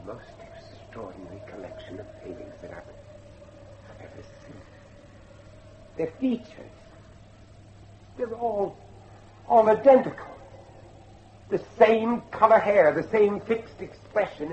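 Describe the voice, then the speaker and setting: A man's voice: high-pitched, very animated, unhurried, long pauses, dull audio, a little hiss or hum. An actor playing a determined, troubled middle-aged father with formal, insistent speech in a gothic horror drama. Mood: awed unease. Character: determined, troubled father hunting for his missing son.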